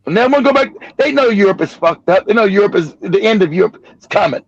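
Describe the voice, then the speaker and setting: A man hosting a livestream, talking in an animated, emphatic way, swearing and repeating himself. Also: high-pitched, fast. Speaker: livestream host. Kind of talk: heated livestream commentary. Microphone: streaming mic. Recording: dry, dull lo-fi stream audio.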